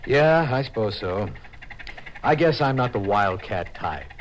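An actor playing an easygoing utility inspector with an American accent, making casual, folksy small talk in an old-time radio drama. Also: male voice, lowish voice, animated, a little slow, loud music behind the voice, rough recording. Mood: chatty, casual. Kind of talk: 1950s American radio crime drama acting. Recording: hissy old radio recording.

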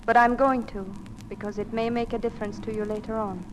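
A woman's voice: fairly high pitch, fairly even intonation, brisk, close to an everyday voice. An actress playing an earnest, emotional woman in love in a 1940s radio drama, heartfelt in manner. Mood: urgent confession. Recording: noisy old radio drama recording.

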